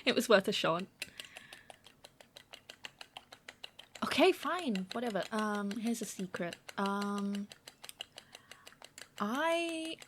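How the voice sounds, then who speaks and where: Flirty female roommate, played by a woman, ASMR roleplay. Unhurried, mid-pitched, teasing and a little hesitant. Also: expressive, slight background noise. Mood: playful teasing.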